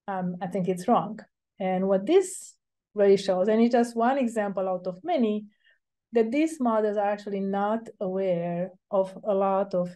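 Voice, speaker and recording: A middle-aged woman speaks over a video call, her voice soft and mellow. She explains her ideas earnestly and at a measured pace, pausing often to think. It sounds like an academic webinar talk given from a home office. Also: medium pitch. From Romania. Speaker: invited speaker.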